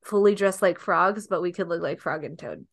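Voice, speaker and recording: A woman's voice: on the low side, normal speed, creaky, no room sound. A young woman who is a guest on a podcast, chatting casually, filling her speech with likes and ums and laughing easily. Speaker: podcast guest, dance partner.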